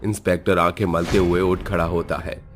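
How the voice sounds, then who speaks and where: A man's voice: sits low, flat delivery, moderate pace. A man giving dramatic scripted narration of a horror story. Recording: music behind.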